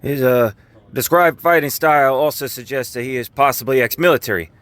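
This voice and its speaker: A careful police officer played by a man in an audio drama. His voice is smooth and resonant, slightly hesitant, with no room echo. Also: medium pitch, fairly quick, fairly bright tone, lightly acted.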